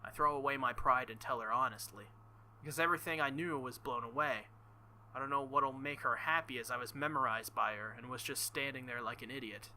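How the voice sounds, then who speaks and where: A man hosting a visual novel playthrough, reading lines aloud and tossing in animated, sweary, joking commentary. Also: mid-range voice, a little fast, a little hiss or hum, poor audio. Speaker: video game playthrough host.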